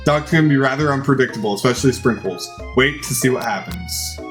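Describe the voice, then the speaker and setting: A man's voice: medium pitch, fairly quick, over music. A man reading game text aloud as a dramatized narration during a playthrough.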